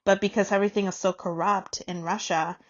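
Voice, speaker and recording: A woman talks as a podcast co-host in a loose, conversational debating style. Her pitch sits in the middle of the female range, and she is frank and informal, quick to challenge. The recording is a little dull and lo-fi. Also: fairly even intonation.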